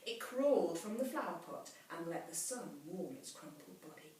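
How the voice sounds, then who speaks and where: A woman reading a children's story aloud like a teacher, dramatically, with hushed, drawn-out phrase endings. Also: on the low side, animated, recorded from a distance. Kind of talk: expressive children's storybook narration. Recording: very echoey room, off mic, faint hiss.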